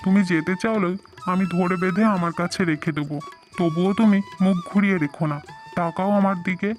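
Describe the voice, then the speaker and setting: A man's voice: high-pitched, steady tone, brisk, close to an everyday voice. Earnest young husband played by a man, music behind. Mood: pleading. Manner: earnest, pleading, repeats phrases. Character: devoted young husband and son-in-law, earnest, emotional. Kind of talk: melodramatic cartoon voice acting.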